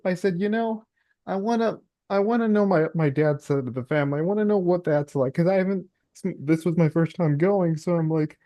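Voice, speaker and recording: A woman hosts an interview, asking gentle, open questions. Her voice is mellow and dark. It is recorded close and dry, with no room sound. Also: fairly low pitch, no room sound. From Colombian and Hungarian family. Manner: gentle questions, warm "mm-hmm" backchannels. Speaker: podcast host. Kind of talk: interview conversation.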